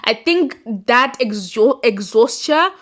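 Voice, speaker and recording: A woman's voice: on the high side, lively intonation, takes it slowly, a bit bright. A young woman, a non-native English speaker, hosting a chatty, rambling commentary and laughing at her own points. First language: not English. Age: young adult. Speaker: host. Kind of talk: casual commentary monologue.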